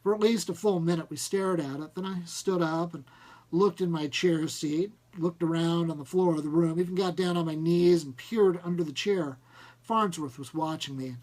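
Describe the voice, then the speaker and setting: A man narrating a first-person short story, reading in an expressive, measured way. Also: a little high, rough recording. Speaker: audiobook narrator.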